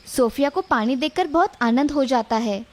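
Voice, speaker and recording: A woman's voice: sits high, lively intonation, moderate pace, darkish tone. A woman narrator reading a scripted moral story for children.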